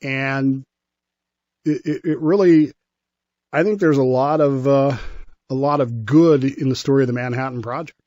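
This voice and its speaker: An American man gives conversational history commentary on the radio. His voice sits at a middling pitch, and he explains in a measured, halting way with repeated words. The sound is dry and close. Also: darkish tone, no room sound, lo-fi sound.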